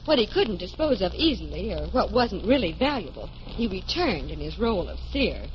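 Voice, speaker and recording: An actress playing an inquisitive magician's assistant, earnest and questioning, in 1940s radio style. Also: female voice, medium pitch, sing-song, normal speed, lightly acted. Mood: emphatic. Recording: muffled, noisy vintage radio recording.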